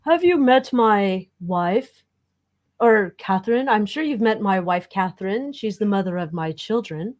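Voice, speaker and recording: A woman's voice: medium pitch, expressive, unhurried, darkish tone, close to an everyday voice. A woman voicing a flustered, awkward young royal man in an improvised comedic scene. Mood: stiff polite.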